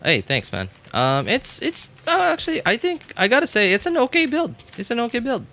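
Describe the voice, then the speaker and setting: A man's voice: extremely high pitch, wildly swooping pitch, brisk, bright, forceful tone. A man livestreaming a game, chatting casually with viewers and thinking aloud in a rambling way. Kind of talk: casual gaming stream chat with viewers.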